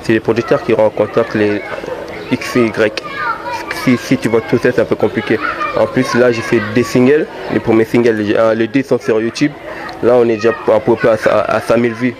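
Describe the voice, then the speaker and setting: A man's voice: mid-range voice, little pitch movement, brisk, a crowd in the background. A man from Congo, a guest rapper, talking in a casual outdoor interview, often repeating and restarting his words. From Democratic Republic of the Congo. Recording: outdoor street noise.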